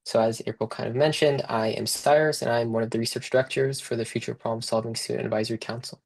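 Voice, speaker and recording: A young man hosts a video-call panel at a brisk clip. He reads a prepared introduction in a friendly way, and his voice has a gravelly, creaky edge. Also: mid-range voice, steady tone. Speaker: student moderator.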